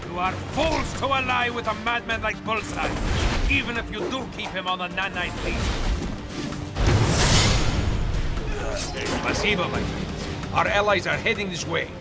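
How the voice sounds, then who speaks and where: A man's voice: high-pitched, expressive, normal speed. An actor playing a stern, proud strongman hero in a video game. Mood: scornful. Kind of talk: game cutscene acting. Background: loud music.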